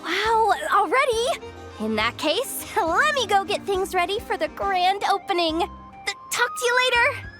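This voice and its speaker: A woman's voice: very high-pitched, big pitch swings, a little slow, heavily acted. A woman playing an earnest, overworked young woman manager in a video game, with a fretful delivery. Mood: flustered. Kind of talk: animated game voice acting. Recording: music under the voice.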